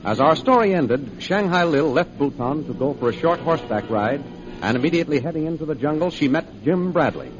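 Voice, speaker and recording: A man's voice: a little high, animated, a little fast, noisy background. A man narrating and announcing a 1930s radio serial in a formal, declamatory scripted style. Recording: muffled vintage radio recording. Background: music.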